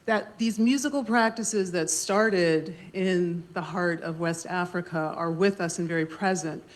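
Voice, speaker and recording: Soft-spoken female moderator, lower voice, measured delivery with pauses, at a live library event. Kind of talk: panel discussion opening talk. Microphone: handheld mic.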